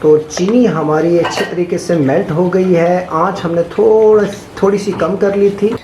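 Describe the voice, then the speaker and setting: A man's voice: high voice, steady tone, measured pace, darkish tone. A man hosting a cooking show. He explains each step steadily and repeats his tips. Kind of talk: cooking demonstration. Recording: echoey with faint noise.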